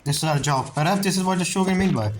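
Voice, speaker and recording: A woman's voice: on the low side, fast, slight background noise. A woman giving casual reaction commentary as a gamer, musing aloud and repeating phrases. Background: game music.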